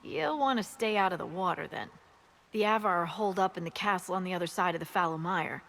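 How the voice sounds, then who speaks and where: An actress playing a brisk woman military scout in a video game, briefing in a frank, dry way. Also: on the low side, vocal fry, a bit bright.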